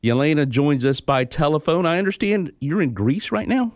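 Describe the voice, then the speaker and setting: Measured male radio host, dry studio. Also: on the high side, animated, darkish tone, no room sound. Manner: measured, conversational questioning. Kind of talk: radio interview.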